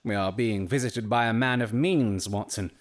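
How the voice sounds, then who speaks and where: An actor playing a brilliant, eccentric Victorian detective in an audio drama, speaking with precise, clipped self-assurance. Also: male voice, fairly low pitch, normal speed, lightly acted. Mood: confidently deducing.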